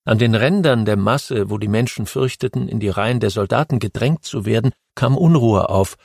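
A man narrating a history audiobook in a measured, steady storytelling manner. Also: on the low side, creaky, dry recording, clean studio sound.

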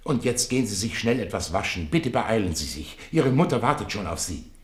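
An actor playing an anxious, deferential and solemn royal tutor in a German radio play. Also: male voice, lowish voice, very animated, a little fast. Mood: anxious, urgent. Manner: formal, deferential. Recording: echoey room in radio play.

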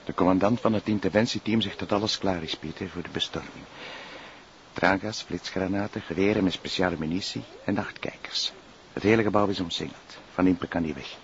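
An actor playing a terse police officer in a TV crime drama, hushed, with a Flemish accent. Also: male voice, low-pitched, brisk. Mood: tense, hushed. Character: terse male police officer reporting before a raid. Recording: muffled, faint noise.

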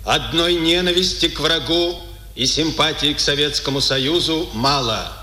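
A man's voice: a little high, normal speed, pushed and bright. An actor playing an earnest male resistance orator declaiming a proclamation in a 1950s radio drama. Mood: resolute. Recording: echoey 1950s radio studio recording.

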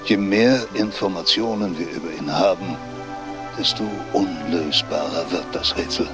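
A male interviewee musing on a mystery. The voice is very deep and measured, with prominent music underneath, as in a radio drama documentary.

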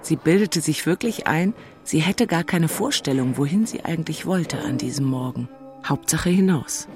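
A woman's voice: low-pitched, animated, moderate pace, a little mellow, soft background music. An actress playing an exasperated, lovesick single city woman in a radio play, narrating with blunt, self-mocking asides. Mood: wry, self-deceiving.

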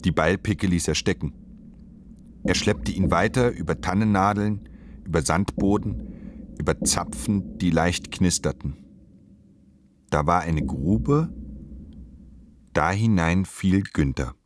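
Slow, very low-voiced male narrator. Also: slight background noise. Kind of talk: literary radio play narration.

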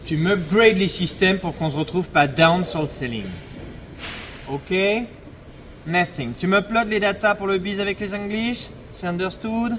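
A man's voice: high-pitched, measured pace, fairly bright tone. An actor playing a curt, self-important young bank trader who peppers his talk with English business buzzwords in a comic audio fiction. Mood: brisk, irritated. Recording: clear background noise of traffic.

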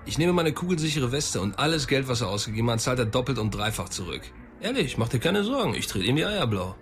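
Swaggering tough gang enforcer. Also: male voice, medium pitch, very animated, quick pace, soft background music. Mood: boisterous. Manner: coarse, swaggering. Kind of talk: radio drama dialogue.

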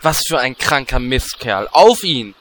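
A man's voice: mid-range voice, very animated, a little slow, a bit bright, slight background noise. Man playing a crude, wisecracking adventurer. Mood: sardonic. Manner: sardonic, crude jokes.

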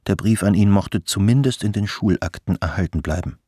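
A man's voice: fairly low pitch, brisk, dark tone, no echo, clean studio sound, natural voice. A man narrating a radio play in a sober, literary manner.

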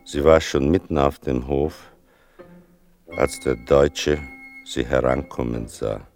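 An actor playing a grave son who narrates his mother's story in a radio drama, slow and solemn with a gravelly voice. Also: darkish tone, heavily acted. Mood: solemn, reflective. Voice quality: deep, gravelly. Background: music.

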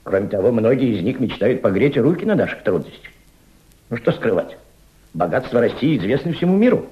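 An actor playing an impassioned senior Soviet diplomat in a radio drama, mentoring a young subordinate with emphatic, didactic rhetoric and deliberate repetition. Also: male voice, medium pitch, very animated, lo-fi sound, natural voice. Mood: impassioned, urgent. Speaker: actor in an audio play.

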